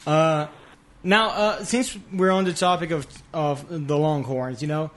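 A man's voice: fairly high pitch, measured pace, a bit bright. A man co-hosting an interview who asks questions in a hesitant way, with many fillers. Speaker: co-host.

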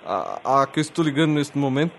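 Hesitant, overly polite prank caller. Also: male voice, medium pitch, animated, slight background noise. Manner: slow, hesitant and overly polite, with many restarts. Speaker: radio comedian making a prank call. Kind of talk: prank phone call.

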